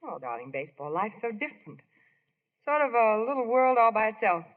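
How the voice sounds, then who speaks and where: Tender young wife, gentle, earnest, vintage radio drama acting, lo-fi recording. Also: female voice, fairly high pitch, sing-song, a little fast. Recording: muffled old radio recording.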